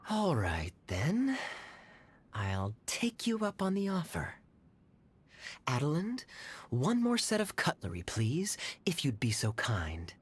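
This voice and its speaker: Suave, animated young cavalry captain. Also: male voice, fairly high pitch, slow pace, exaggerated voice. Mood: resigned, courteous. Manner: smooth, wry, theatrically courteous. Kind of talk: video game character voice acting.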